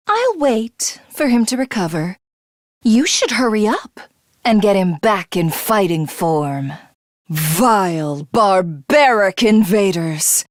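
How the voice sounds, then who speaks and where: A woman's voice: medium pitch, no room sound, clean studio sound. A voice actress playing a haughty, cruel female alien supreme commander for a video game, with imperious, theatrical, formal diction. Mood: haughty condescension, then scornful.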